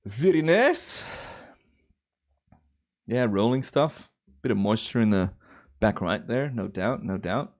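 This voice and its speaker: A man in his thirties from Belgium, with a Belgian accent shaded by American and Australian English, chatting easily as host of a live stream, with drawn-out cheerful exclamations. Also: medium pitch, expressive, darkish tone. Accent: Belgian, with American and Australian influence. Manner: laid-back, chatty, drawn-out enthusiastic exclamations. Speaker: timelapse livestream host. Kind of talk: relaxed live stream commentary.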